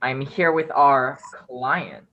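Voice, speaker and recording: A woman's voice: very deep voice, steady tone, slow pace. A woman playing a curt, commanding male criminal in a suspense radio play, heard through dull, narrowed sound. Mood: curt, controlled. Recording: dull, band-limited sound.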